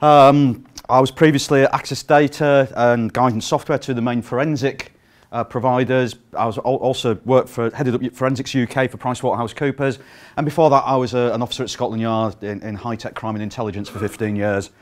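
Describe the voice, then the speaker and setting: A man's voice: medium pitch, fairly quick. A middle-aged British man giving a conference talk, projecting his voice without a microphone and speaking with plenty of ums and uhs. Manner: projecting to fill a room without a sound system; lively, frequent ums and uhs. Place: conference room.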